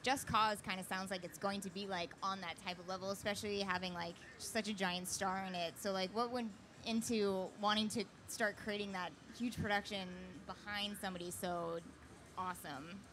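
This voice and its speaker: A woman's voice: mid-range voice, steady tone, normal speed, a bit bright. A woman moderating a panel in a casual, conversational way, informal and filling her questions with "like". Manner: informal, peppers talk with "like". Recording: live event floor with background noise.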